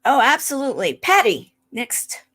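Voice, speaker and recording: A woman's voice: sits high, sing-song, moderate pace, fairly bright tone, husky. A woman hosting an online panel, calling on each guest in turn. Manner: leisurely, introducing each guest by name. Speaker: panel host.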